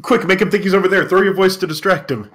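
A man's voice: high voice, fast talker, fairly bright tone. A man reading aloud for comedy and chatting casually, playful and stumbling a bit over his lines. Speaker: guest reader on a comedy podcast.

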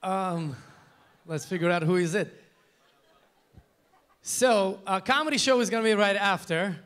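Man co-hosting a gala, reads intros kinda stiff and halting, higher voice. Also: a bit bright. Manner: formal, slightly halting. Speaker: event co-host. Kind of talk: reading introductions. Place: large banquet hall stage. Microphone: handheld microphone.

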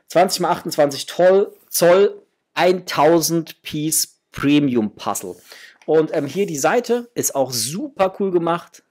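A man's voice: fairly high pitch, darkish tone. A middle-aged man gives a casual unboxing chat to viewers, enthusiastic and full of superlatives. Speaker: toy collector vlogger, host. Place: small indoor home room with framed posters.

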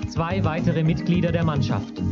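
A man's voice: fairly high pitch, little pitch movement, normal speed. A man giving scripted narration for a radio play. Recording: dull cassette under loud music.

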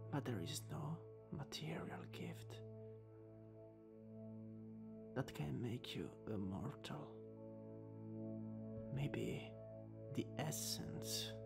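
A man with an Italian accent playing a courteous, sly vampire lord in a hushed, halting ASMR roleplay. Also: mid-range voice, gravelly, heavily acted. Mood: sly, reminiscing, then hungry, coaxing. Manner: halting, hushed, slipping into whispers and soft chuckles. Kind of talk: ASMR roleplay, improvised acting. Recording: reverberant hall sound with music behind. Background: music.

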